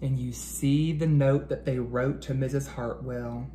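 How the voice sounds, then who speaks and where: A man hosting a children's read-aloud, speaking expressively to young listeners with drawn-out storybook phrasing, as an educator. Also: medium pitch, steady tone, mellow. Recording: noticeable background noise.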